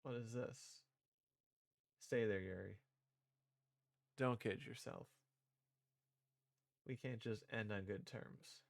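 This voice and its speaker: A man's voice: fairly low pitch, fairly even intonation, takes it slowly, creaky, long pauses, dry recording. A man reading visual novel dialogue aloud and performing the parts, with casual asides as a streamer.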